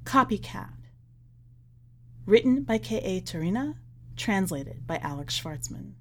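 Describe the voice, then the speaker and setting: A woman reading a short story as a podcast narrator, with wry, deliberate emphasis in an expressive scripted delivery. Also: medium pitch, slight background noise.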